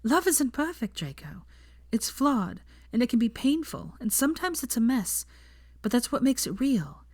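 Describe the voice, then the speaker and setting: Woman reader doing a passionate young woman, higher voice, earnest and emphatic. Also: very animated, moderate pace, darkish tone, breathy edge, no room sound, clean studio sound, heavily acted. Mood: heartfelt. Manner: emphatic, heartfelt pleading.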